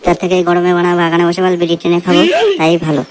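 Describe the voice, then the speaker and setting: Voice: medium pitch, monotone, very fast, close to an everyday voice. A performer voicing a broke young labourer in a comedy cartoon, speaking colloquially and repeating short phrases. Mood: fed up. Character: broke young labourer, foul-mouthed, easygoing, looking for work.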